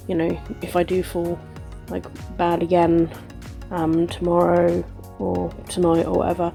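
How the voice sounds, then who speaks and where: A young woman talks to her camera in a loose, rambling way, full of fillers and restarts. Her voice sounds stuffy and congested, as if she has a cold. Faint music sits quietly behind her. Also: on the low side, vocal fry. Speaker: vlogger. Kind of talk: casual vlog chat.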